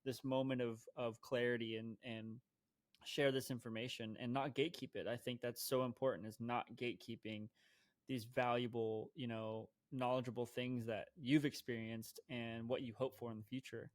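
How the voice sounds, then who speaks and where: A man's voice: medium pitch, flat delivery, dry recording. A man co-hosting a conservation podcast, speaking casually with many fillers and restarts. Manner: rambling, halting with many restarts. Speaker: podcast co-host.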